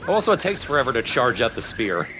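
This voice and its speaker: A man's voice: medium pitch, sing-song, fast talker, music in the background, rough recording. A man explaining a video game as he plays, casually and with a dry, jaded edge. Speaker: let's play commentator. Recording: loud game sound behind voice.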